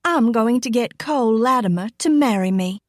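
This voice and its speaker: A spiteful young Southern belle, as a female narrator plays her, scornful and emphatic. Her voice rides high and sneers through each line, like a schemer used to getting her way in an audiobook drama. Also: normal speed, darkish tone, no room sound, studio quality, natural voice. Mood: taunting. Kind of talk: audiobook character acting.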